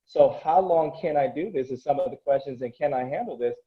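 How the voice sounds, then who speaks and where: A man's voice: mid-range voice, brisk. A man whose first language is Korean speaks as a coach in a casual interview. He talks earnestly and motivationally, rambling and restarting his thoughts. His mid-range voice comes through dull and lo-fi.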